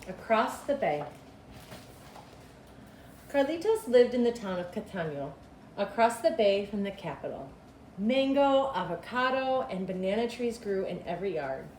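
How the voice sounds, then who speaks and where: Expressive woman reading a storybook, echoey room. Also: medium pitch. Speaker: school principal reading aloud. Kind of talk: children's storybook read-aloud. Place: small cinderblock school room, indoors. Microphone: camera a couple of meters away. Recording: echoey room with clear background noise.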